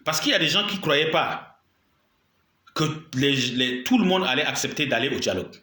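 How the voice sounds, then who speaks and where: A man with a cold delivers a spoken video statement, his throat sore but his tone bright and sharp. The room around him rings with echo. He presses his points with indignation, often repeating himself for weight. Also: fairly high pitch, animated, moderate pace. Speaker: political commentator.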